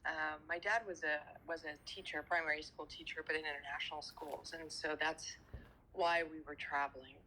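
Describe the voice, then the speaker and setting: Hesitant woman guest, soft noise behind. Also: low-pitched, steady tone, normal speed, low-quality recording. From France. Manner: hesitant, many ums and repeated words. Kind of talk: interview answers.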